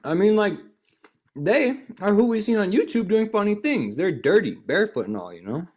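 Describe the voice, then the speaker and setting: Animated speaker delivering a conversational monologue. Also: mid-range voice, moderate pace. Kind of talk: theatrical monologue.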